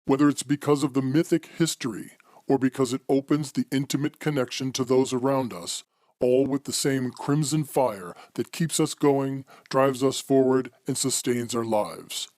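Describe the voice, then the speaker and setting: A man's voice: medium pitch, moderate pace, a little mellow, no echo, natural voice. A man playing the philosophical, formal host of a macabre radio anthology, reading scripted narration in an old-time radio style. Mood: measured, reflective.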